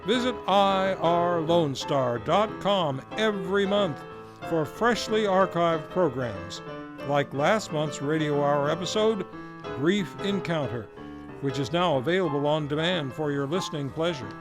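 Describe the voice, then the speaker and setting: A man's voice: a little high, animated, unhurried. A man, the series host, reading the closing credits and announcements of a radio play in a polished, formal announcer manner. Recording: music playing underneath. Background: music.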